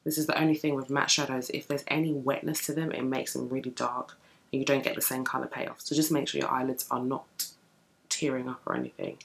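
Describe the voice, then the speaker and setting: Young woman vlogger, super low sleepy morning voice, chatty makeup tutorial at home. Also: flat delivery, brisk, creaky, rough recording. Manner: chatty, talks while applying makeup. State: just woken, deep morning voice. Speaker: beauty vlogger. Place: home room with bookshelves, indoors.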